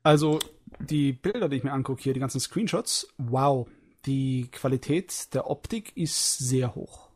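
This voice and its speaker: Male podcast co-host, mid-pitched, short interjections, faint hiss. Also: a little slow, a little mellow. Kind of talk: casual chat about anime.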